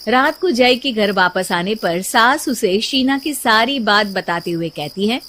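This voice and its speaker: A woman reading scripted narration for an animated moral story. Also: a little high, a little slow, a little hiss or hum.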